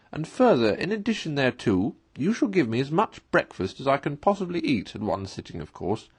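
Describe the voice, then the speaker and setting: A man voicing a conceited, excitable toad from a storybook in a pompous, theatrical, emphatic manner. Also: mid-range voice, husky. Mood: bargaining, pompous.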